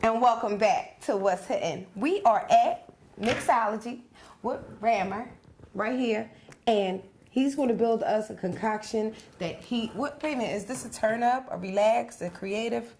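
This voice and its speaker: A woman's voice: on the high side, a little slow, a little mellow, a little hiss or hum. A woman hosting a casual interview show, upbeat and playful, talking straight to viewers. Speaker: show host.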